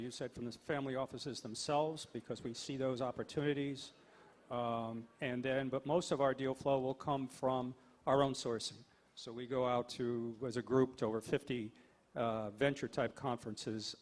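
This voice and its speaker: A man speaking on a panel about investing, explaining in a businesslike way. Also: mid-range voice. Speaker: panelist, angel investor group leader. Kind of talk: panel discussion.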